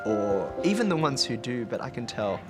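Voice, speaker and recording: A man's voice: medium pitch, very animated, moderate pace. A man, a maths teacher, talking earnestly and reflectively in a documentary interview. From Australia. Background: background music.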